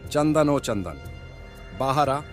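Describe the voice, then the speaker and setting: A man's voice: medium pitch, very animated, slow, soft background music. A man playing a stern, demanding rich landlord in a moral-story cartoon, speaking in a curt, imperious way. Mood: stern, threatening.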